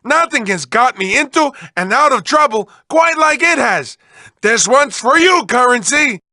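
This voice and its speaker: A man's voice: lively intonation, a little slow, pushed and bright, dry recording, studio quality, full character voice. A man voicing a manic, whiny mad-scientist villain for a comic video game, hammy and given to erratic outbursts. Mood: theatrically gushing. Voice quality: high-pitched, shrill.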